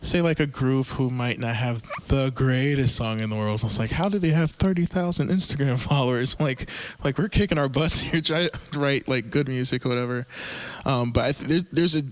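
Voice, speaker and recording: A man hosting a casual group conversation, talking in a halting way with many fillers and easy laughs. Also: medium pitch, dry recording. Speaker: podcast host.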